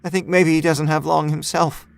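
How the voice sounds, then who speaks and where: A man narrating a dark short story in a measured, literary and dramatic way. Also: on the high side, flat delivery, gravelly. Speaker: fiction narrator. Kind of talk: scripted dark-tale audiobook narration. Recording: music bed under the voice. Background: music score.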